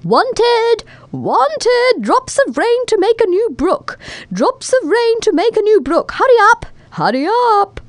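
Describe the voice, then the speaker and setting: A woman voicing an eager storybook figure who calls out loudly and urgently, high and piercing. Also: sing-song, slow, no echo, full character voice. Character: eager shrill voice calling for raindrops.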